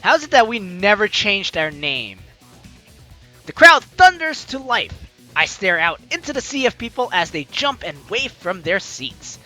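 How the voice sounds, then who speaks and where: A woman hosting a let's play, chatty and excitable, reading game narration aloud with drama and commenting as she goes. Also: medium pitch, measured pace. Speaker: gaming video host. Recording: game music behind the voice. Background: game music.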